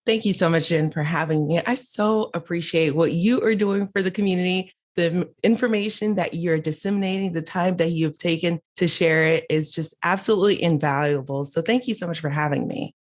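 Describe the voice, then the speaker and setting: A woman's voice: fairly low pitch, a little fast, hardly any pauses. A middle-aged woman from Haiti, raised in Miami, telling her story as a podcast guest, candid and laughing as she goes. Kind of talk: casual interview storytelling.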